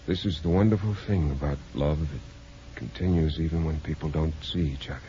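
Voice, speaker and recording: Deep-voiced, troubled American father. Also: mellow, a little hiss or hum. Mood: tender, subdued. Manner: hesitant, halting. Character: earnest, troubled divorced father, tender with his daughter. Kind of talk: 1950s American radio drama acting. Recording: dull 1950s radio recording with music.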